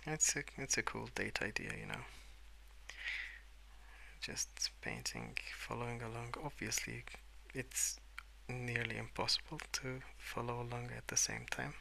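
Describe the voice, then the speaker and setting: A man speaking in a soft, hushed ASMR ramble, drifting between murmur and whisper in a hesitant, unhurried way. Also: mid-range voice, expressive, gravelly, a little hiss or hum, poor audio. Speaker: host of an ASMR colouring channel. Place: indoors at a wooden desk.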